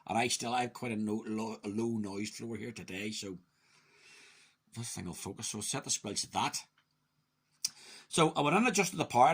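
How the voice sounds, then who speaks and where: A man presents a casual hands-on review. His voice is sharply bright with a husky edge, sitting at an ordinary male pitch. He is chatty and opinionated, often muttering along to himself as he demonstrates. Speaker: radio hobbyist presenting a review.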